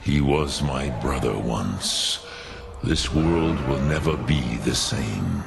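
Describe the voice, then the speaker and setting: A man playing an ancient, wise and melancholy dragon in a fantasy video game, with a gravelly voice and solemn, deliberate, archaic speech full of pauses. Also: a bass voice, lively intonation, heavy background noise, full character voice. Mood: mournful, solemn.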